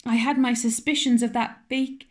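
A woman voicing an eager, chattering young girl who rushes her words and pleads. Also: mid-range voice, creaky, a little mellow, natural voice. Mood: distraught. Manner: breathless, rushing, pleading.

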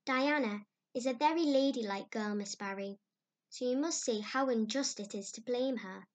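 A woman's voice: on the high side, moderate pace, natural voice. A woman reading aloud, voicing an imaginative, dramatic orphan girl who gushes breathlessly. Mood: earnest. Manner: breathless, gushing, heightened.

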